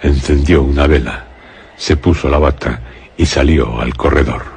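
Extremely deep Castilian male narrator. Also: a little mellow, slightly rough. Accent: Castilian Spanish. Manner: grave, measured storytelling. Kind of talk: suspenseful scripted radio drama narration.

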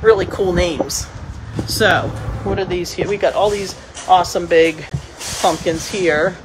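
A woman's voice: lowish voice, a little slow. A middle-aged woman hosting a casual on-camera vlog, chattily asking questions in an informal, exclamatory way. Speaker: cooking vlog host interviewing a farmer. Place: open-sided farm market and sunny parking lot outside. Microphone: phone at arm's length. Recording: clear outdoor ambient noise.

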